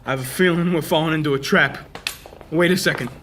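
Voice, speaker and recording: A man's voice: a little high, fast, a bit bright, a little hiss or hum, close to an everyday voice. A man playing a tough, protective detective hero with terse, hard-boiled delivery in an old-time radio drama. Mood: tense resolve.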